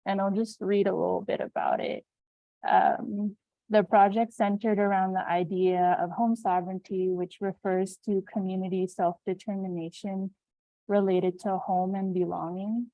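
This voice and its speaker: A woman from Kuwait gives a talk over a video call, speaking slowly and softly with frequent fillers. Her voice sits fairly low. The audio is thin and phone-like, with a dry, close sound. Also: dry recording. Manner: soft-spoken, reflective, with frequent fillers. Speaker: invited speaker. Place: home in New Mexico.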